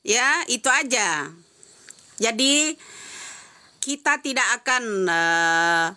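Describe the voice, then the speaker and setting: A woman's voice: mid-range voice, pushed and bright. A woman giving heated live-stream commentary, answering comments with insistent, repeated rhetorical questions.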